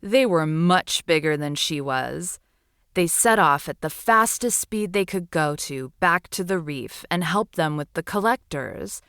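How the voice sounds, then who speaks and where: A woman narrates a children's bedtime story at a very slow, deliberate pace. Her slightly low voice rises and falls expressively, with a soothing storyteller's delivery in a dry, close recording. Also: animated, fairly bright tone, clean tone, dry recording.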